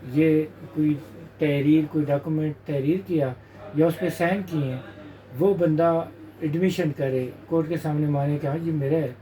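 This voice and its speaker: A man's voice: on the high side, fairly even intonation, a little slow, dark tone, low-quality recording. A man with a Pakistani accent explaining law as a lawyer, informally and methodically, point by point. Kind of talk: informal spoken legal explanation.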